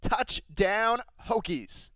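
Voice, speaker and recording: A young man calling live sports play-by-play, moving between measured description and excited calls. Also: sits high, no room sound. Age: young adult.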